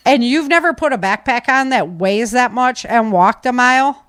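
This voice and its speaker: A woman's voice: on the high side, moderate pace, a bit bright, no echo. Emphatic woman, close dry sound. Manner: emphatic, insistent, repeating key phrases for stress. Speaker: podcast speaker on preparedness and self-defense. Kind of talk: casual, opinionated talk.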